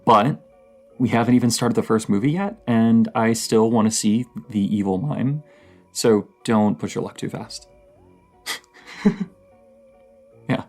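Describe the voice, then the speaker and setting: Male actor as nerdy horror-buff boyfriend: unhurried, gravelly edge, rambling and teasing, intimate roleplay audio. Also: lowish voice, a little mellow, faint music behind. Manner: rambling, giggly, hesitant, teasing. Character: dorky, playful young romantic partner, horror film nerd.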